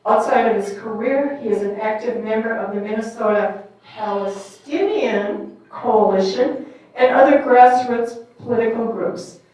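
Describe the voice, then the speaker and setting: Woman moderator, reads bios with pauses, recorded from a distance in a large hall. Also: a little high, a little mellow. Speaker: forum moderator. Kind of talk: introducing panelists at a public forum. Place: large echoey meeting hall. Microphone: distant microphone. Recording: echoey hall, mic far off.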